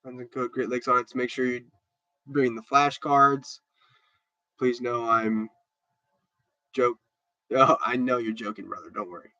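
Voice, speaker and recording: A man's voice: medium pitch, fairly even intonation, a little slow, long pauses, bright, forceful tone, dry recording, lo-fi sound. A stuffed-up man hosting a casual livestream, chatting loosely and answering viewer comments with many restarts. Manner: rambling and chatty, with repeated restarts. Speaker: livestream host.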